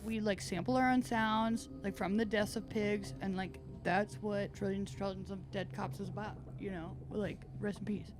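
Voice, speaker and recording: A woman's voice: medium pitch, fairly even intonation, moderate pace, hardly any pauses, music in the background. A woman taking part in a tabletop role-playing game, improvising casually. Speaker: tabletop role-play player.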